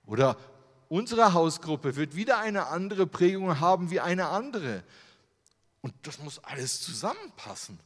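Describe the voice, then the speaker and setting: Lively German man preaching in a hall. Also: on the high side, moderate pace. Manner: conversational, asks rhetorical questions. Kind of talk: sermon with slides. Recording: long hall reverb.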